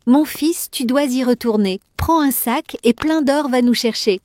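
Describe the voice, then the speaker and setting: A woman's voice: sits high, a little slow, darkish tone, no room sound. A woman playing a bossy but fond mother in a children's cartoon fairy tale, scolding and coaxing.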